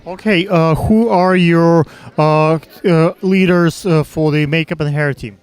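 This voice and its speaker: Halting man with a Russian accent. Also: a little high, fairly even intonation, smooth. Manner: halting, many fillers and restarts. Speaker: interviewer. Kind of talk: casual interview questions. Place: backstage at a fashion show.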